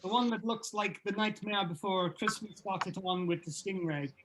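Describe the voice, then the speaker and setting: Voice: mid-range voice, moderate pace, lightly acted. Someone doing a sardonic sweary rock band guy, jaded, reading a script, noisy recording. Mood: dry. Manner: blunt, sweary. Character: foul-mouthed, sardonic, jaded male rock band member. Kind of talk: informal script table read. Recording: clear background noise.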